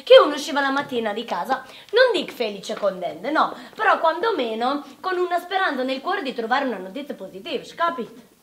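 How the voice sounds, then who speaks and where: An actress playing an exasperated working-class wartime housewife with a Neapolitan accent, earthy and scolding, in a theatrical radio monologue. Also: female voice, high voice, very animated, a little fast. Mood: chatty, complaining. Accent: southern Italian, Neapolitan. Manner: earthy, volatile, scolding, addressing listeners directly.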